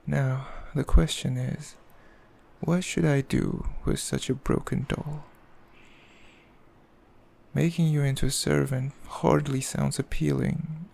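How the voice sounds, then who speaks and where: A man playing a cruel, possessive demon king in an ASMR roleplay, speaking in a haughty, mocking, measured way with a gravelly voice. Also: mid-range voice, a little mellow, full character voice. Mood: musing contempt. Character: cruel, possessive male demon king, coldly amused, condescending. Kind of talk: ASMR roleplay, slow intimate villain monologue.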